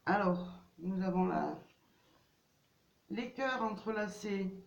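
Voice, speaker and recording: A woman with a cold reads tarot cards for her viewers in a slow, halting way, stopping often on fillers and restarts. Her voice has a gravelly fry. She sounds distant from the mic in an echoey room. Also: mid-range voice. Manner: hesitant, many fillers and restarts. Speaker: tarot card reader. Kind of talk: casual card reading to viewers. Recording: distant, dull, roomy home recording.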